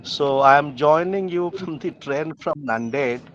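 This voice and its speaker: Male scholar, notably high voice, deliberate and halting, dull lo-fi call audio. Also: fairly bright tone. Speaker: academic discussant. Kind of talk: formal remarks in an online discussion. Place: joining a video call from a train.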